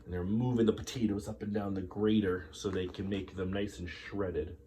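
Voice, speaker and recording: A man's voice: low voice, fairly even intonation, warm tone, a little hiss or hum. A man reading a children's story aloud as a storyteller, dramatic and drawn out, with chatty asides. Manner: dramatic, drawn-out delivery with pauses.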